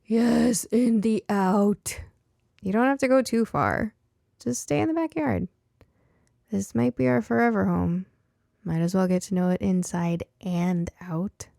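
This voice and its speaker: A woman voicing a cheerful, caring mother in an audiobook story, gently teasing and reassuring. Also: mid-range voice, measured pace, gravelly, dry recording, clean studio sound. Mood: encouraging. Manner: chuckling, reassuring, coaxing.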